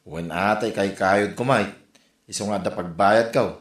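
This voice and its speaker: A man's voice: fairly low pitch, a little slow, roomy sound, lightly acted. A man playing a griping, indignant buddy in an Ilocano Filipino comedy audio drama, speaking emphatically.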